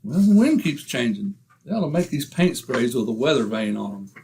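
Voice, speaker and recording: A man's voice: mid-range voice, lively intonation, moderate pace, a little mellow, slight background noise, close to an everyday voice, low-quality recording. A man playing a blustery, boastful middle-aged husband with folksy, slangy grumbling in an old-time radio comedy.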